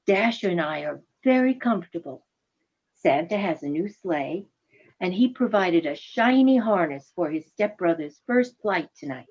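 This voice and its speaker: Dull recording, husky gentle female reindeer, woman acting. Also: lowish voice, expressive, normal speed. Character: gentle, affectionate female reindeer, wife and mother. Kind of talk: reader's theatre radio play acting.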